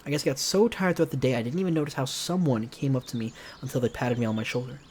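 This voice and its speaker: A man's voice: medium pitch, rapid-fire, darkish tone, slight background noise. A man streaming a visual novel, reading it aloud expressively with casual asides. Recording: music behind.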